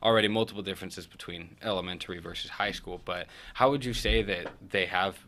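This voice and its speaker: A young man hosts a casual youth interview, his voice sitting low but with a bright, forceful edge. He jokes around and stumbles through questions, full of ums and restarts. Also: a little fast. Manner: joking, hesitant with many fillers. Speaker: youth show host.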